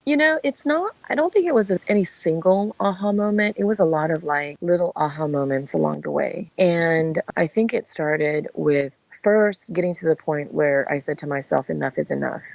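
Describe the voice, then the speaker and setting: A woman's voice: low-pitched, normal speed, vocal fry, hardly any pauses, no echo. A woman in her forties, originally from Vietnam, answering interview questions in an earnest, reflective way with some hesitations. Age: 40s. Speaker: guest. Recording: dry, muffled lo-fi sound.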